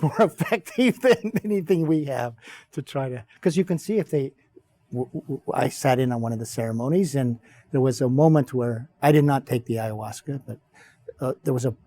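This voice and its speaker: Husky, mellow man telling an anecdote. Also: medium pitch, no echo, clean studio sound. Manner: anecdotal, storytelling. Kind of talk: roundtable discussion.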